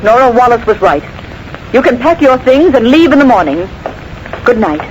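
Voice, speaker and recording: A woman's voice: on the high side, big pitch swings, normal speed, dull audio. A woman playing a wealthy, self-assured wife in 1940s radio drama, poised and dismissive. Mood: cold dismissal. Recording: noisy vintage 1940s radio recording.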